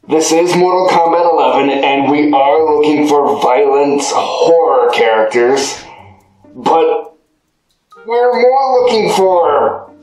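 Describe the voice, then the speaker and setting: Man playing a cocky ice fighter, slow and teasing, in a very echoey room with faint music. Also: fairly high pitch, a little mellow. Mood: mocking. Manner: teasing, laughs at his own jokes. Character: mocking male ice-powered fighter, cocky and giggly.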